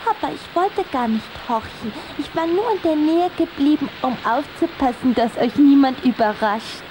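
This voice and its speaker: Little girl vampire, high girlish voice, determined, children's audio drama, noticeable rainy hiss. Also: animated, normal speed, darkish tone. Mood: warm, sly. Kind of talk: children's radio play acting. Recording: noisy, rain-like background hiss.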